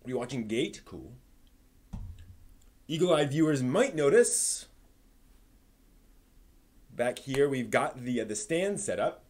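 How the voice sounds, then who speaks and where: A man's voice: mid-range voice, big pitch swings, slow, pauses often, a little mellow. A man hosting a live stream in a conversational way, moving between news rundown and casual asides to his viewers.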